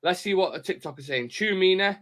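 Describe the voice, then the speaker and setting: A man's voice: sits high, expressive, brisk, a bit bright. A man hosting a football fan live stream, chatting casually and reading out viewer comments, blunt and opinionated. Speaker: host of a football fan show.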